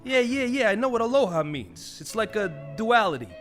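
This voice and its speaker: High-voiced grumbling vulture husband. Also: male voice, sing-song, moderate pace, a bit bright, faint music behind. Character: male vulture, grumbling but sheepish, devoted husband. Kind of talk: comic audio play acting.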